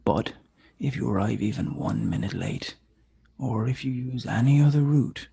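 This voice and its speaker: A man playing a menacing killer who taunts by phone in a table read, slow and deliberate with a raspy, whispery voice. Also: mid-range voice, mellow, heavily acted. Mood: cold, commanding.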